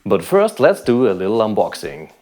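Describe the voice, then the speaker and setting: A man hosting a tech channel, giving calm, short remarks in an unboxing voice-over. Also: lowish voice, normal speed.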